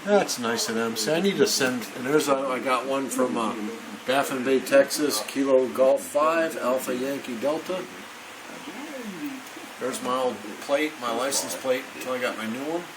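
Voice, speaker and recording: A man's voice: medium pitch, normal speed, a loud crowd. A man giving an unscripted, rambling show-and-tell as an amateur radio hobbyist, chatting casually. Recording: noisy room, shortwave radio playing. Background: shortwave radio broadcast.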